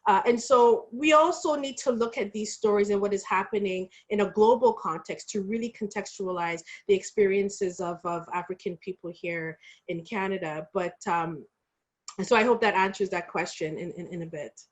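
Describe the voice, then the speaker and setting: A woman with a medium-pitched voice answers as a panelist in a public discussion. She explains in a thoughtful, careful way, with fairly formal wording. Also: moderate pace. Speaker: historian and educator on a panel.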